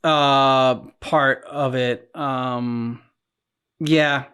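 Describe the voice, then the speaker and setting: A man with an average-pitched voice co-hosts a casual reaction discussion. He speaks tentatively, feeling his way through loose, rambling thoughts. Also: steady tone, very slow, a bit bright, clean tone. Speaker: reaction channel co-host.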